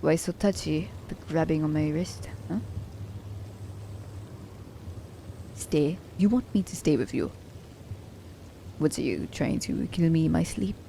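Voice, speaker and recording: A sly female assassin, played by a voice actress, with a low and very mellow voice. She is commanding and haughty, teasing her captive like a pet in intimate ASMR roleplay. A faint rumble sits behind her. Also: animated, moderate pace, vocal fry. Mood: softening, teasing.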